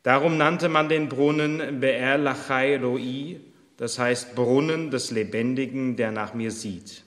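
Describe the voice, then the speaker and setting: Male pastor, solemn measured reading, slow delivery, long reverberant church hall. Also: mid-range voice, a bit bright. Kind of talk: church service prayer and scripture reading.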